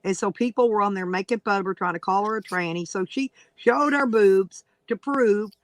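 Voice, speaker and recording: A woman's voice: mid-range voice, normal speed, dry recording. Older aussie-born woman, chatty and emphatic, dry close sound. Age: 60s. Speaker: guest streamer. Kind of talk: casual chat on a livestream.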